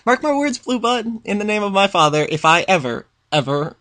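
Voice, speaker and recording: A man's voice: high voice, sing-song, brisk, bright, forceful tone, exaggerated voice. A man voicing a haughty, exasperated royal pony princess in a dramatic fan fiction reading, with pompous, scathing, formal diction. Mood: scathing, indignant.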